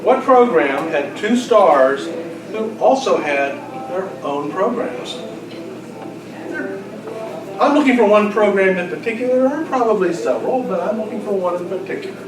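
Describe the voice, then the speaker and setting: A man with a high voice runs a live trivia quiz, teasing the players as he goes. He is heard from a distance in a roomy space with clear background noise. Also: lively intonation, normal speed. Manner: jokey, teasing. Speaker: quiz master. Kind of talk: reading trivia questions to a live group with casual banter. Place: large indoor room at a club luncheon. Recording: roomy, noisy hall, far from mic. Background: room noise from a gathered group.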